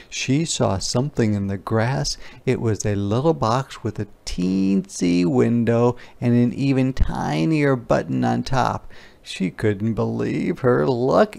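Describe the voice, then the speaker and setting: Man, children's read-aloud narrator, unhurried, expressive, medium pitch, creaky edges. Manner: deliberate, expressive storytelling. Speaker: storybook narrator.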